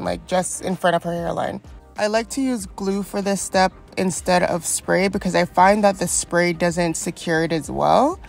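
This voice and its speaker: A woman's voice: lowish voice, creaky, darkish tone. A young woman with a stuffy, congested voice from a cold, chatting through a step-by-step wig install tutorial and encouraging viewers. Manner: chatty and encouraging, frequent ums. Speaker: wig stylist and beauty vlogger.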